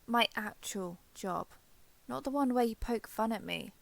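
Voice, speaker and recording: Woman acting a shy, guarded ex-villain, creaky-voiced. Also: on the high side, no room sound. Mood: grudging, defensive. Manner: halting, defensive, awkwardly sincere. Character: shy, guarded former villain woman turned ally, awkward and flustered. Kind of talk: intimate romance audio roleplay.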